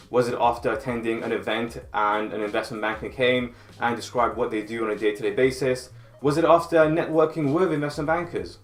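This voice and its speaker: A man giving direct, advisory career coaching in a scripted instructional video. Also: mid-range voice. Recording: roomy sound with faint music.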